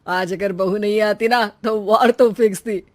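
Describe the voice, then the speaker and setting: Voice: medium pitch, fairly even intonation, measured pace, natural voice. A performer voicing a teasing, sarcastic middle-aged husband and father with a dry, mocking manner.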